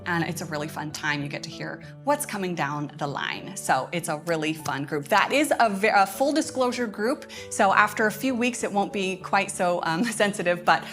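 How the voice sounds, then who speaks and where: A woman's voice: lowish voice, normal speed, few pauses, over music. A woman giving casual livestream announcements as a host, speaking in a chatty, enthusiastic way. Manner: chatty, enthusiastic, often chuckling. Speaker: host of a curriculum update livestream.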